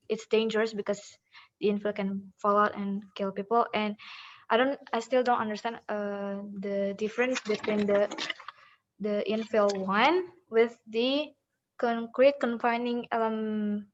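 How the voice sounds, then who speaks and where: A woman's voice: medium pitch, flat delivery, unhurried. A woman student whose first language is Indonesian asks a question hesitantly, searching for words over a video call. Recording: dull lo-fi call audio.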